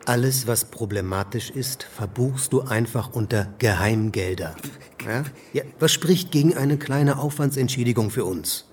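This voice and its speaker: An actor playing a crafty, casually corrupt political crony in a radio drama, offhand and glib. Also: male voice, medium pitch, moderate pace, slight background noise, lightly acted. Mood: breezy, impatient.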